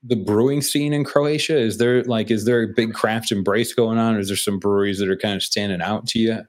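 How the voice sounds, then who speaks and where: Low-voiced male co-host, loose and chatty, full of fillers. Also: fairly even intonation, brisk. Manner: chatty, rambling, with fillers and restarts. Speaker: podcast co-host. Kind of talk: casual beer podcast chat.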